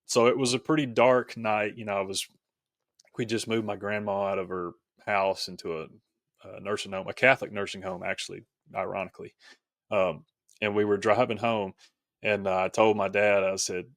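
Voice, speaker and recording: A male podcast guest with a fairly low voice shares a personal story in a casual register. The sound is close and dry, and his delivery is reflective, with some hesitation as he finds his words. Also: steady tone, moderate pace, no echo.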